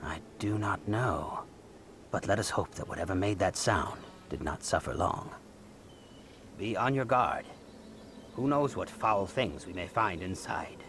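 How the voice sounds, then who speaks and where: An actor playing a grave, wise old martial-arts master in a video game cutscene, speaking in a solemn, measured, formal way. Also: male voice, low voice, a bit bright, a little hiss or hum. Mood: wary.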